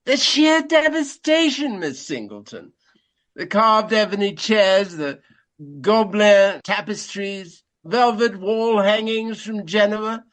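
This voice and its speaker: An actor playing an aging, pompous Regency gentleman of the stage in a radio play, nostalgic and theatrical, with florid phrasing and dramatic pauses. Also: high voice, lively intonation, exaggerated voice. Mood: mournful, dramatic.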